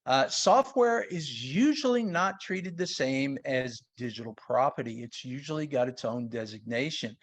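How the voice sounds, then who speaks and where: A man's voice: fairly high pitch, a bit bright. A man with a New York accent giving an informal explanatory webinar talk in a conversational, plain-spoken way. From New York, United States. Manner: conversational, plain-spoken, uses everyday examples. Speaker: sales tax consultant presenting.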